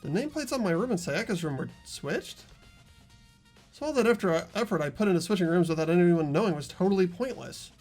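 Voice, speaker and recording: Player doing an anxious teen schoolboy detective, earnest, thinking out loud in a visual novel, faint music behind. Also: mid-range voice, animated, a little fast, lightly acted. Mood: puzzled, earnest. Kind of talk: visual novel game narration read aloud.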